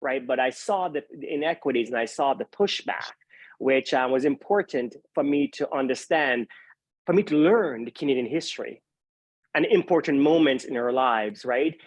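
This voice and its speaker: A man's voice: medium pitch, moderate pace, no room sound. A middle-aged man from Jamaica with a Jamaican accent, speaking earnestly as a panelist, often saying "you know". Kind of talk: panel discussion.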